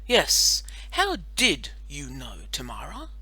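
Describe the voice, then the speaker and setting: Woman acting pompous, forceful schoolboy. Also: low voice, huge pitch swings, takes it slowly, breathy edge, no echo, heavily acted. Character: pompous schoolboy copying a lawyer's interrogation.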